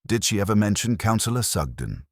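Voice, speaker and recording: A man voicing a courteous, thoughtful English police detective who speaks in a measured, probing way in a mystery story. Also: fairly low pitch, sing-song, creaky, no room sound, clean studio sound, pitched above the performer's own voice, exaggerated voice. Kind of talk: dramatised mystery audiobook.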